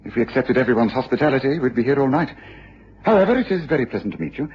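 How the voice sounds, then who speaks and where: Sherlock Holmes, quick, animated English detective. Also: male voice, mid-range voice. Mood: polite. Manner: crisp, polite, authoritative. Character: Sherlock Holmes, brisk, self-assured, courteous English detective. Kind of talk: 1950s BBC radio drama acting. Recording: dull, noisy vintage radio recording.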